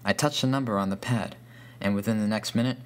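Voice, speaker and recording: A man's voice: lowish voice, fast. A man reading a scripted first-person horror story as its narrator.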